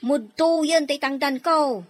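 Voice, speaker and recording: A woman's voice: high voice, slow. A Filipino woman playing an outspoken, bold housemaid in an audio drama, with pointed, emphatic delivery. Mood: defiant.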